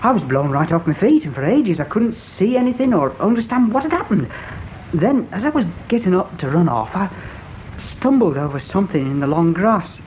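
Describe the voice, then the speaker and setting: A naive young English lad in a radio play, earnest and hesitant with very lively intonation. He is eager and deferential to his betters. The recording is muffled, with noise behind it. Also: sits high, moderate pace. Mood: earnest recounting. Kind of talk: radio drama acting.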